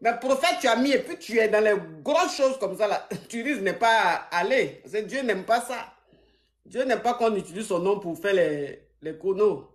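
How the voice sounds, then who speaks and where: A woman's voice: medium pitch, moderate pace. Mocking woman coach. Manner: mocking, laughs often, animated. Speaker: live-stream advice coach taking calls. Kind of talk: teasing call-in banter.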